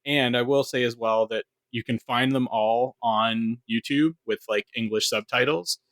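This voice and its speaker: A man speaks in an average male pitch with very little room sound. He explains things in a casual, detailed way, as a co-host chatting on a podcast. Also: steady tone, a little slow, fairly bright tone, dry recording.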